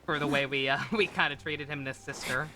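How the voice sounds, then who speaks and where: Bright-voiced man, casual game streamer. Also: on the high side, quick pace, a little hiss or hum. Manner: rambling, animated reactions. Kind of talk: casual live commentary.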